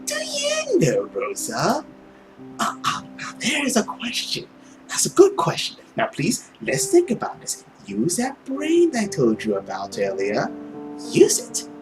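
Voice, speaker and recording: A man voicing a smug, scornful nobleman villain in a visual novel, drawling and sarcastic with theatrical pauses. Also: high voice, huge pitch swings, music in the background, heavily acted, poor audio. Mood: mocking, condescending. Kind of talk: visual novel character acting.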